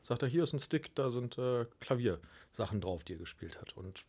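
A man giving casual, halting interview answers as a guest in a radio studio, pausing often as he goes. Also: mid-range voice, animated, a little mellow, husky. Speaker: youth radio workshop leader, interview guest.

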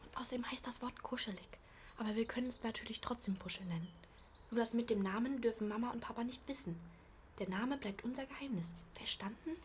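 A woman's voice: a little high, fast talker, a little hiss or hum, close to an everyday voice. A young female performer playing a bossy, resourceful eldest sister in an amateur radio play. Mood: secretive.

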